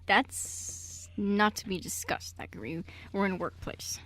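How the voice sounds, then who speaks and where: A woman's voice: mid-range voice, animated, unhurried, fairly bright tone. A woman playing a prim, protective and easily flustered older uncle in an amateur radio play, with a fussy, exasperated delivery. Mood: defensive.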